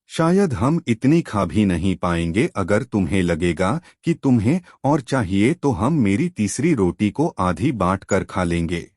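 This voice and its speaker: A man's voice: lowish voice, big pitch swings, a little slow, darkish tone, no echo, clean studio sound. A man voicing a kind, contented traveller in a moral story, reassuring and generous.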